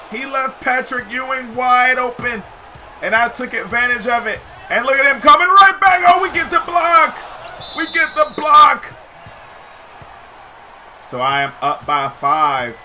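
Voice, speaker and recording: A man giving excited, slangy live commentary over basketball video game play, shouting at big plays, his voice getting hoarse. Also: very high-pitched, noisy background. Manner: slangy, says "yo" often. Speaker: gaming YouTuber.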